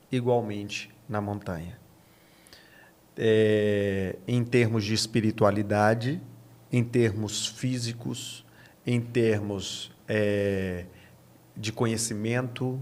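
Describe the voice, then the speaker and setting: A man of thirty-six with a Brazilian accent, a pastor speaking as a podcast guest, explaining earnestly and repeating phrases for emphasis. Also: medium pitch. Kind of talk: podcast interview answers.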